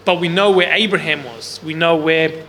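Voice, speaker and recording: A man with a loud voice leading a Bible class without a microphone, talking casually and often putting questions to the group. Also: on the high side, normal speed. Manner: loud voice, speaks without a mic. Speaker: Bible study teacher. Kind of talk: interactive Bible class teaching. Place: large room with a group, no microphone. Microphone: no mic on the speaker, recorder in the room. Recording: long hall reverb, faint background hiss.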